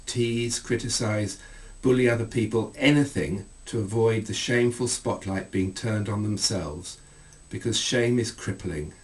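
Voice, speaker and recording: Middle aged guy reading his book out, lowish voice, bit far from the mic. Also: darkish tone. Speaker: author reading own book, counsellor. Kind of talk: scripted literary reading.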